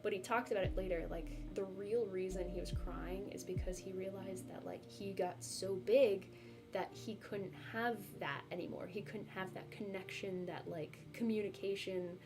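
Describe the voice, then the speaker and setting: A woman's voice: mid-range voice, fairly even intonation, normal speed. A young woman streamer talking casually with her viewers, rambling and self-deprecating, with lots of fillers. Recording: music playing behind.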